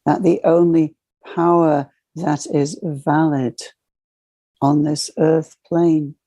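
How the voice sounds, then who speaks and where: A woman leading a channeled guided meditation, speaking as a solemn spiritual guide in measured, ceremonial short phrases. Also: deep voice, fairly even intonation, warm tone, dry recording.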